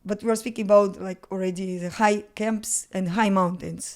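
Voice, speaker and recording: Woman, Russian accent, middle-aged, halting English, searching for words, studio mic, casual interview guest. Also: medium pitch, steady tone, clean tone. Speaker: podcast guest, mountaineer. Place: home studio with bookshelves, indoors. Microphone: studio mic on stand, headphones.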